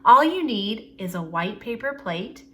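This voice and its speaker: A woman presents a storytime for small children in a bright, forward voice. Her pitch sits in the middle for a woman, and she talks in simple, expressive phrases aimed directly at her young audience. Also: unhurried.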